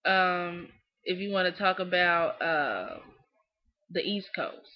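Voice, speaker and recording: A woman's voice: fairly low pitch, takes it slowly. Emphatic young Southern woman on a lo-fi webcam. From Southern US, Kentucky. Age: young adult. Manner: emphatic, scolding, repeats "you know what I'm saying". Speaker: vlogger. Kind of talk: opinionated webcam rant. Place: small dim room indoors. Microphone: webcam close up. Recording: dull lo-fi webcam audio.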